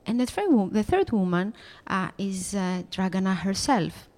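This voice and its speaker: A woman introducing an artist at an exhibition in formal, deliberate, halting phrases. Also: medium pitch, sing-song, a little mellow. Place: exhibition room.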